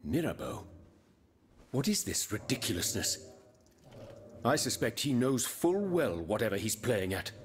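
An actor playing a dry, indignant gentleman scientist in a video game, brusque yet courteous. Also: medium pitch, big pitch swings, unhurried, pauses often, a little hiss or hum. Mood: incredulous, dry. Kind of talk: video game cutscene acting.

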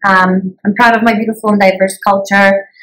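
A woman teacher answers interview questions in a middle-pitched voice. She speaks thoughtfully and fills her pauses with soft "um"s. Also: flat delivery, low-quality recording. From United States. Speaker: English as a new language teacher.

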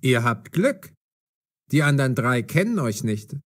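A man's voice: mid-range voice, big pitch swings, a little slow. Male police detective, stern, mocking, commanding, fair, audio drama delivery. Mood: matter-of-fact. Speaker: voice actor. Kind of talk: audio drama for language learners.

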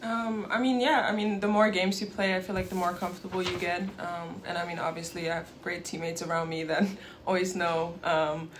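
A woman's voice: lowish voice, flat delivery, brisk, few pauses, a little hiss or hum. A young woman basketball player in her twenties giving shy postgame answers full of ums and likes, on a table mic in a press room. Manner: shy, giggly, many fillers.

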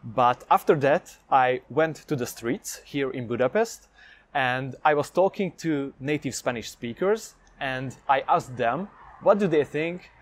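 A man's voice: medium pitch, unhurried. Upbeat young man with a Hungarian accent. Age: young adult. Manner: energetic, talks with his hands. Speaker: language-learning vlogger. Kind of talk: upbeat direct-to-camera vlog. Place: indoors against a plain light wall. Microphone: lapel mic, medium camera framing.

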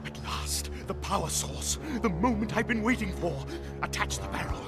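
Cartoon villain: scheming reptile king, high, swooping delivery, grandly blustering, music behind. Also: animated, moderate pace. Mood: scheming, gloating. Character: pompous, scheming male crocodile king villain. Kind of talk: animated cartoon villain acting.

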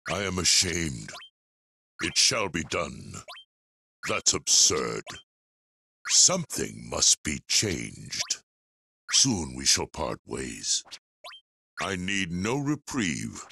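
An actor playing a solemn, honourable armoured dark sorcerer in a video game, speaking gravely and formally with theatrical weight and a gravelly voice. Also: male voice, extremely low pitch, very animated, extremely slow, pauses often, slight background noise, full character voice. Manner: formal, grave, archaic phrasing.

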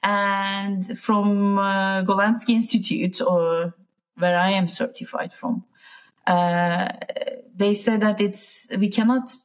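A woman's voice: medium pitch, fairly even intonation, takes it slowly. A woman from Turkey with a Turkish accent, talking casually as an interview guest and often searching for words. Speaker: interview guest, piano teacher.

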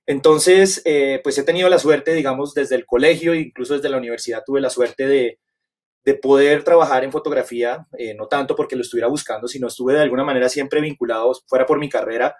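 A man's voice: medium pitch, a little fast, darkish tone. Colombian man presenting in a rambling way. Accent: Colombian Spanish, Bogotá. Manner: grateful, rambling with many fillers and restarts. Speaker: guest photographer presenter. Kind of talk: webinar presentation. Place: home setup on a video call. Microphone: webcam on a video call.

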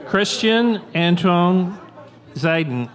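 A man formally reading out graduate names and degrees in measured, clear tones at a podium microphone in a large outdoor tent. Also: high-pitched, lively intonation. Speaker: name reader at a graduation. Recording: crowded outdoor tent, loud background noise. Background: crowd noise and applause.

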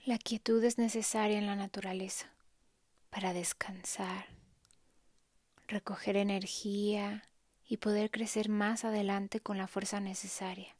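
A woman softly narrating a guided meditation in a hushed, calm, breathy way, leaving long pauses. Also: mid-range voice, no echo.

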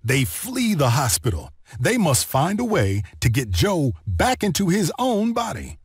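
A man narrating a children's read-along story in an expressive, deliberate storytelling manner. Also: a little high, dry recording, clean studio sound. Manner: expressive, deliberate storytelling delivery with clear phrasing.

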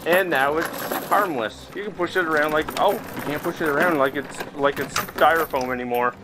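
Higher-voiced man doing casual game commentary. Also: normal speed, background noise. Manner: chatty, talking to viewers while playing. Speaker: gaming video host. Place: indoors, playing in a VR headset. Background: game music.

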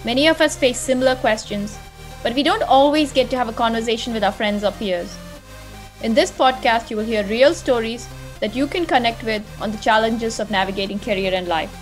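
A woman hosting a podcast, reading a scripted intro and asking guests measured, friendly questions. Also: a little high, soft background music. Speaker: podcast host.

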